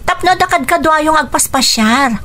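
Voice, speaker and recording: A woman's voice: sits high, lively intonation, normal speed, sharp tone. An actress playing a caring young adult daughter in a radio drama, coaxing and concerned, slipping English into her talk. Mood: gentle coaxing. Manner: coaxing, mixes English into Ilocano. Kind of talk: Ilocano radio drama acting.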